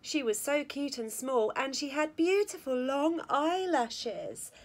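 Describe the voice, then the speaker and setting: High-voiced woman reading a children's story. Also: slow pace. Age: middle-aged. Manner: expressive, sing-song read-aloud delivery. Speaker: school staff storyteller. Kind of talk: reading a children's rhyming picture book aloud. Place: small school office or staff room, indoors. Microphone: phone or tablet at arm's length.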